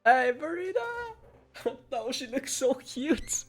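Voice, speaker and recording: A woman giving casual, excitable live reaction commentary on a video game, often repeating her phrases. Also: high voice, slow pace, slightly rough, faint music behind.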